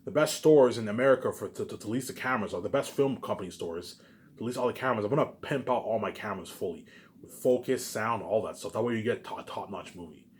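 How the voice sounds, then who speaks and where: A man's voice: lowish voice, fast talker, slight background noise. A man giving a rambling, casual solo monologue, emphatic and repetitive, often tagging his points with rhetorical questions. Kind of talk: rambling casual monologue about personal plans.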